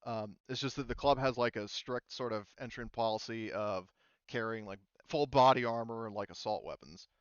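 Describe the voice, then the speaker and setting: Man, game master, resonant voice, halting casual storytelling, slightly dull recording. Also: medium pitch, clear, resonant tone, no echo. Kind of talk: casual tabletop game narration.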